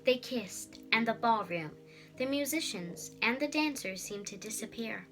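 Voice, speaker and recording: Bright-voiced young girl narrating a story. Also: on the high side, expressive, a little slow, soft background music, poor audio. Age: child. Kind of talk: expressive scripted story reading.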